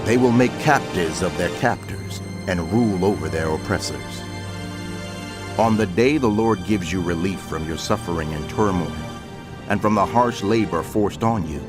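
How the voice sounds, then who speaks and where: An actor playing a prophet narrator, solemn and declamatory, in dramatic scripture narration. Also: male voice, lowish voice. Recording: music under the voice.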